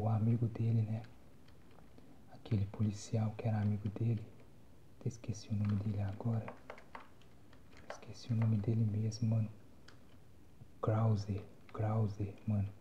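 A man's voice: fairly low pitch, highs cut off. Whispering young Brazilian man, muted and noisy. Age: young adult. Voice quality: soft, breathy whispering. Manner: casual, slangy. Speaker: gamer, ASMR channel host. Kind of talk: whispered ASMR gameplay commentary. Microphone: phone microphone, close.

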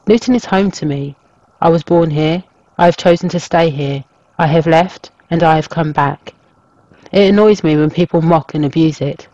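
A man reading a scripted documentary narration as a storyteller, in a measured, even way. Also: fairly high pitch, dry recording, highs cut off. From England.

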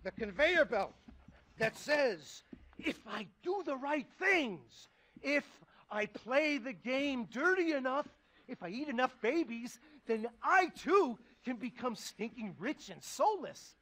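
A man's voice: very high-pitched, sing-song, slow pace, fairly bright tone, exaggerated voice. A man playing a rich, ruthless, cynical finance mentor in a satirical video game movie, pompous and contemptuous. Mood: grandiose, scornful. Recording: music under the dialogue.

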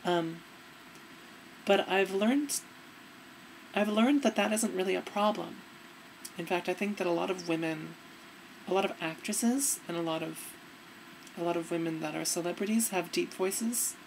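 A young woman with a fairly deep voice giving earnest, relaxed advice to her webcam in a dim room. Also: unhurried, gravelly, pauses often, slight background noise, low-quality recording. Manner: earnest, relaxed, demonstrating as she talks. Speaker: vlogger. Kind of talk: casual advice vlog.

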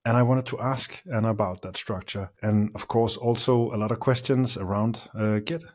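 A middle-aged man hosting a podcast, introducing the episode and questioning his guest in a halting way with many uh fillers, close on a studio condenser mic. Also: lowish voice, steady tone, darkish tone. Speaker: podcast host. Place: small room with curtain backdrop. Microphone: studio condenser mic with pop filter.

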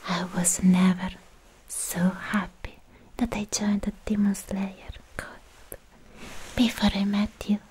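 Woman acting slow-whispering lovestruck anime slayer girl. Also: mid-range voice, little pitch movement, rough recording. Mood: affectionate, gentle. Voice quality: breathy, whispery. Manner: slow, hushed, affectionate personal attention. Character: sweet, lovestruck young female anime demon slayer. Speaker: ASMR roleplay performer. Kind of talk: soft-spoken whispered ASMR roleplay. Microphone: very close microphone.

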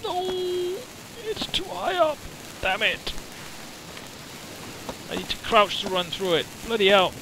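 Man, Danish native, casual gaming chat, lively swinging pitch, swears and jokes, noisy game audio behind. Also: takes it slowly, long pauses. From Denmark. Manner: excitable, swears and self-mocking. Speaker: modder playing with a friend.

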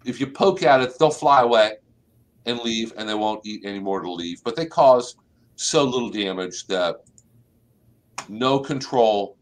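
A middle-aged man hosting a live online plant clinic from an office over a video call, explaining calmly and saying y'all. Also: lowish voice, measured pace. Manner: relaxed, explanatory, uses y'all. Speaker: extension agent and plant clinic host. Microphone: webcam on a video call.

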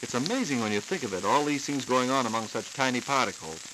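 A man's voice: mid-range voice, lively intonation, quick pace. An American man hosting a scripted 1940s radio interview, prompting in a curious, folksy way, on a hissy old transcription disc. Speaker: radio host asking questions. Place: radio studio.

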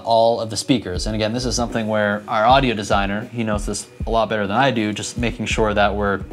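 A man in his thirties giving a conversational, practical tutorial to camera, speaking into a lapel mic. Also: lowish voice. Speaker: church audio instructor. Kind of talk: explanatory tutorial talk to camera. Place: indoors, equipment room and church hall. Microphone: clip-on lapel mic.

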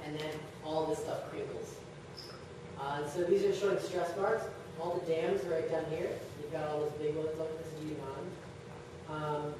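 A young man gives a relaxed lecture full of fillers and wry asides. He sounds distant from the mic in a very echoey hall, with steady background noise. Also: high voice. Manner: loose, filler-heavy, wry and joking. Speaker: presenter giving a slideshow talk. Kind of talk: casual lecture.

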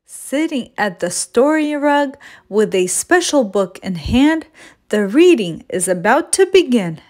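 A woman reading a children's storybook aloud as a storyteller, in an expressive scripted style. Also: on the high side, unhurried.

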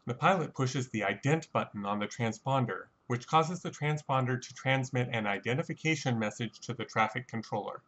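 Measured man narrating, slightly dull sound. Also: mid-range voice, a bit bright. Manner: measured, matter-of-fact, technical. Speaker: narrator of a flight reconstruction. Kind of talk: scripted explanatory narration.

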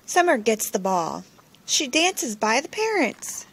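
A woman reading a children's picture book aloud in simple, expressive phrases for young listeners, recorded at home on a phone. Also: fairly high pitch, slow pace. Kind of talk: children's storybook read-aloud. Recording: noisy home recording with clicks.

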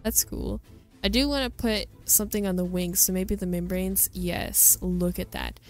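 A woman's voice: mid-range voice, steady tone, normal speed, faint music behind. A woman hosting a gaming video, giving casual, chatty commentary as she shows things off. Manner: chatty and informal. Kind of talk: casual game showcase commentary.

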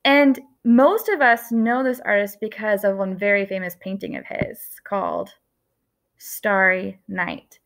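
A woman in her thirties, an art teacher giving a lesson to young children, speaking gently and expressively and slowing down on key words, recorded close to a webcam indoors. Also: medium pitch, vocal fry. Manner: gentle, expressive storytelling with slow emphatic words.

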